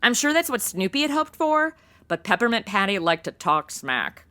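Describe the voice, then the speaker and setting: Woman playing a sassy, animated wisecracking sidekick. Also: mid-range voice, moderate pace. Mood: flippant, irreverent. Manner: flippant, sassy, deadpan wisecracks. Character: brash, irreverent modern female sidekick, flirty wisecracker. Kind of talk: comedy audio drama acting.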